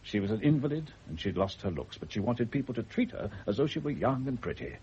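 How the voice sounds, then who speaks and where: An actor playing a calm, frank male doctor who reasons patiently and deliberately in a radio drama. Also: mid-range voice, natural voice. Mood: measured, frank. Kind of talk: 1970 radio drama acting. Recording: dull, noisy old radio recording.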